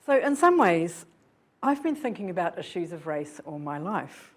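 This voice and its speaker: A woman's voice: on the low side, big pitch swings, normal speed. An older woman from New Zealand giving an earnest, reflective public lecture, often posing rhetorical questions to her audience. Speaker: guest lecturer. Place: lecture hall.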